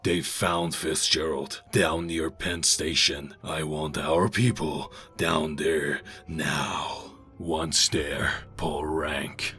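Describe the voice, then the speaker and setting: A man acts out an obsessed intelligence chief with an extremely deep, heavily rasping voice. He gives orders with slow menace in a comic book audio drama. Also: level tone, pushed and bright, full character voice. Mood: cold, commanding. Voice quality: gravelly, rasping. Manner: commanding, menacing. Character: ruthless, obsessed male intelligence agency boss. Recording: music behind the voice.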